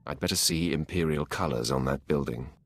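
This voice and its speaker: An actor voicing an imperious, menacing dark lord in a video game, with a haughty, commanding delivery. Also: male voice, rumbling low, fairly quick, husky, exaggerated voice. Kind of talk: scripted game dialogue.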